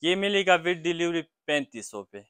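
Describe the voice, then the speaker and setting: A young man selling blankets in his small shop, eagerly pitching prices and agreeing with quick repeated yeses. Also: big pitch swings, a bit bright. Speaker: blanket shopkeeper. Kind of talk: sales pitch to a vlogger.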